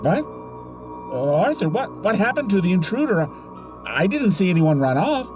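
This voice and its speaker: A man's voice: high voice, big pitch swings, normal speed. A man playing a concerned, fussy neighbour in a horror audio drama, earnest and fretful in his speech. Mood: worried, probing. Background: music.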